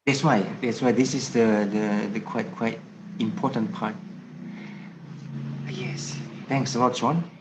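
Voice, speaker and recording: Man, Indonesian first language, hesitant English with restarts, mid pitch, faint line hiss. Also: fairly even intonation, takes it slowly, long pauses. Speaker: student trader. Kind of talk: casual mentoring call.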